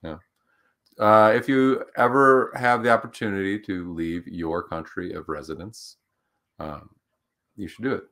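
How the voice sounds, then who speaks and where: Man, very low pitch, casual co-host, rambling, upbeat, lots of ums. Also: unhurried, fairly bright tone. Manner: rambling, filler-heavy, upbeat. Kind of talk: casual livestream chat.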